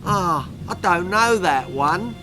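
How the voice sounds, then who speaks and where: A cocky schoolboy bully , played by a man reading a storybook, sneering and gloating. The voice sits on the high side and swings up in mock-friendly taunts, playing it cool over music. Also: animated, slow pace. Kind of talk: children's horror-comedy storybook reading. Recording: music behind.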